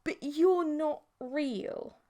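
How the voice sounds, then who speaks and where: A woman's voice: high voice, extremely slow, warm tone, voice pushed up. A woman voicing a bewildered, indignant young man with an awkward, incredulous manner in an audiobook story. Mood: sceptical.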